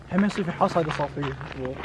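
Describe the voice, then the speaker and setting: Quiet young man, rapid and distant. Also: fairly high pitch. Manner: quiet, hesitant, speaking from a distance. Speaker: companion. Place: outdoors at night. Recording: distant phone mic with hiss.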